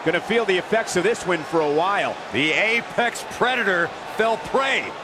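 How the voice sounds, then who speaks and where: An excitable TV wrestling announcer in a game. He calls the action in a high voice over very loud arena noise. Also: normal speed. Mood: excited. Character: excitable male TV wrestling commentator. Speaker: wrestling commentator. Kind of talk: play-by-play sports commentary.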